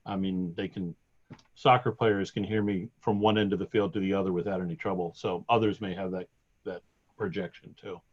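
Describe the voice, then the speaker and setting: A low-pitched man answers questions on an online webinar, hedging and joking at his own expense. His voice is loud and carries well. The audio is dull and lo-fi. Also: fairly even intonation, fairly quick. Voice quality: loud projecting voice. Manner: hesitant, self-deprecating, joking. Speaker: sports department staff.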